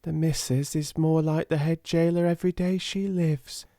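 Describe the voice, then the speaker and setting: A man voicing a timid, downtrodden young servant girl, hoarse and eager, with a working-class English accent. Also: fairly high pitch, fairly even intonation, normal speed, mellow, dry recording, studio quality, voice pushed up, lightly acted. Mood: hushed, pleading.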